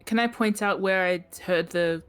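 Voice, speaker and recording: A woman's voice: on the low side, moderate pace, smooth, faint music behind. A woman chatting casually as a player in a tabletop role-playing game.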